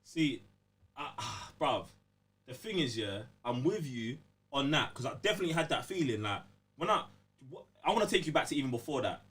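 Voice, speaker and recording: London guy on a gaming podcast, lively up and down voice, slangy, sitting back from the mic. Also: medium pitch, moderate pace, far from the mic. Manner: slangy, emphatic, repeatedly checking the listener follows. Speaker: podcast co-host. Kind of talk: casual game review chat.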